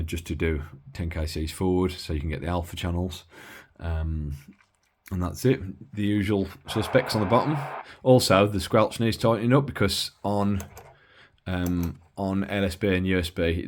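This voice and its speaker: A man's voice: deep voice, background noise. A man giving a casual, unscripted technical demonstration as a hobbyist, explaining things in a hesitant, matter-of-fact way. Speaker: hobbyist demonstrating a used CB radio.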